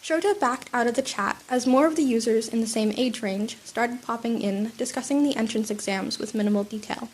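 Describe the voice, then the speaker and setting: A woman's voice: fairly high pitch, brisk, hardly any pauses, husky. Sniffly woman reading a fan story. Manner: soft, with small restarts and fillers. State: sick, slightly sniffly. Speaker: podfic reader. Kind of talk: reading fan fiction aloud.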